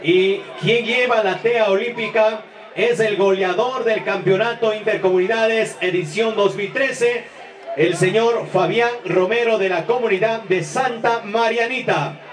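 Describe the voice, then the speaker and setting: A man's voice: sits high, a little slow. A man making announcements as master of ceremonies at an outdoor event. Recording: echoey distant PA with crowd.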